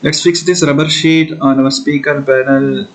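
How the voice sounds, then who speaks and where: A man's voice: mid-range voice, steady tone, normal speed, rough recording. A man narrating a hands-on tutorial casually, explaining and thinking aloud as he works. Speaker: host of a DIY electronics build video.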